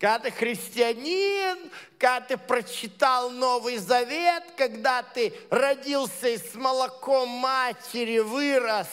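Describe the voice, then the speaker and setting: A man's voice: very high-pitched, slow pace, a bit bright, clean tone. A man leading his congregation in an informal sermon, talking conversationally with many hesitations and building to emphatic points. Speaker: leader of a Messianic congregation. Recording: long reverb of a large hall.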